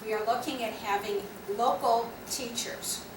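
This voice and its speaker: A woman's voice: fairly high pitch, steady tone, measured pace. A woman officer laying out upcoming class plans at a guild meeting in a practical, explanatory way. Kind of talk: announcements at a club meeting. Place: meeting room. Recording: echoey room, distant mic, noisy.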